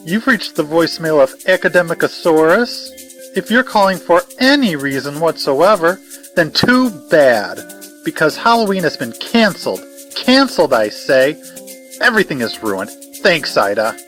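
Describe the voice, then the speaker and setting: A man's voice: fairly high pitch, very animated, normal speed, fairly bright tone, faint music behind. A man playing a pompous, theatrically doomy figure declaiming a mock-formal voicemail greeting. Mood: aggrieved outrage.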